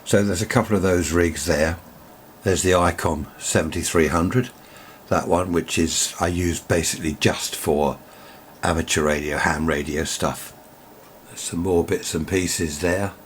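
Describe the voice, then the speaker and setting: A British man with a very low voice chats casually through a hobby demonstration. He rambles in a matter-of-fact way and puts questions to himself. A faint noise sits behind him. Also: a little slow. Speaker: amateur radio hobbyist presenter. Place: indoor room with radio gear. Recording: steady background noise.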